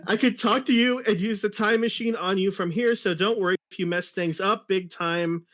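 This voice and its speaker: A man's voice: sits high, fairly even intonation, normal speed, a bit bright, pitched above the performer's own voice. A man voicing an eager, bossy young cartoon fox sidekick, explaining things earnestly and with exasperation in an amateur dramatic reading.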